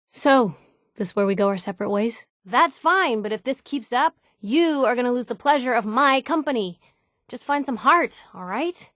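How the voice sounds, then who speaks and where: A woman's voice: fairly high pitch, no room sound, studio quality, close to an everyday voice. A woman voicing a sardonic, outspoken young newspaper reporter companion in a video game, in a wry conversational way. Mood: sarcastic, miffed.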